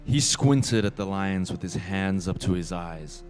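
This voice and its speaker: A man acting as a solemn narrator of a sci-fi radio play, measured and dramatic. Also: low voice, animated, darkish tone, soft background music.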